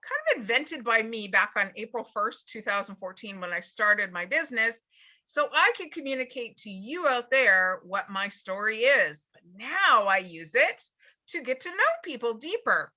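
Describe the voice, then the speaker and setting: A woman's voice: fairly high pitch, expressive, normal speed, sharp tone, dull audio. A woman hosting a live online business interview show, upbeat and asking her guest direct questions over a video call.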